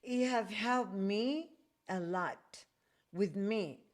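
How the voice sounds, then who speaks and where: A woman raised in Chile and Peru, hosting a podcast in a reflective way and often pausing to find her words. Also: medium pitch. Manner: slow, reflective, searching for words. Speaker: podcast host. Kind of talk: conversational interview.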